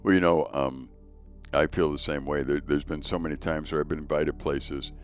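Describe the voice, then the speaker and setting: A man with a notably deep, low voice hosts a faith podcast, telling stories in an earnest, conversational way and often repeating words as he goes. Faint music plays softly underneath. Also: flat delivery, normal speed. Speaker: podcast host. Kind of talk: casual conversation.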